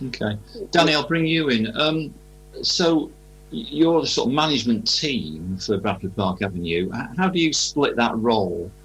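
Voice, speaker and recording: A male radio interviewer with a husky voice of medium pitch. He puts his questions informally and haltingly, feeling his way through each one. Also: expressive, a bit bright, low-quality recording. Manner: hesitant, halting.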